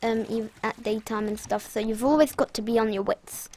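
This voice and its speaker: A woman's voice: a little high, steady tone, normal speed, a little mellow, a little hiss or hum. Chatty young British woman playing a game. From Britain. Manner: chatty, rambling, self-mocking asides. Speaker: gaming channel host. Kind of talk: casual gameplay commentary.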